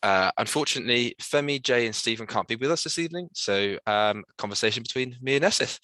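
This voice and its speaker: A man hosting an online student election panel on a video call, upbeat and full of quick praise, with many fillers. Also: medium pitch, animated, sharp tone, no echo. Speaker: hustings host.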